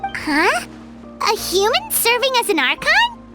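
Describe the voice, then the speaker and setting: A woman voicing a tiny, excitable fairy-like girl in a video game, squeaky, childlike and inquisitive. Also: slow, heavily acted. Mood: puzzled, curious. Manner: exclamatory, inquisitive, speaks of self in third person. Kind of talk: video game dub acting. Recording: music behind the voice.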